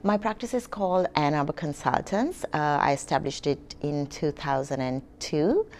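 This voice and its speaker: A woman's voice: low-pitched, moderate pace, a little mellow. A middle-aged woman from Sri Lanka, an immigration consultant answering interview questions with many hesitations and fillers.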